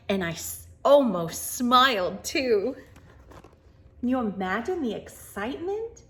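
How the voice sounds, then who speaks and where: A woman reading a picture book aloud to children in an expressive, wonder-filled way, with chatty asides and hushed moments. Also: fairly high pitch. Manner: animated, wonder-filled, addressing young listeners, dropping to hushed tones.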